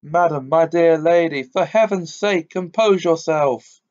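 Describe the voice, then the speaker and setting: A man voicing a fussy old gentleman who wails and protests in a high, trembling voice, on a dull lo-fi recording. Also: measured pace, lightly acted. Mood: panicked. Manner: trembling, wailing.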